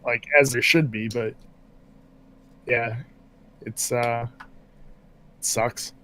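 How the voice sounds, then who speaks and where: Soft-spoken young man hedging a lot. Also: medium pitch, level tone, slow, poor audio. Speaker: podcast co-host. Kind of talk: casual chat.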